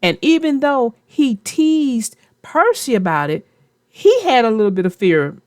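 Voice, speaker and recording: A woman reading a children's storybook aloud in a slow, expressive way, then chatting casually about it. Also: a little high, no room sound, clean studio sound. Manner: slow, expressive read-aloud with character voices. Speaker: storybook reader and co-host.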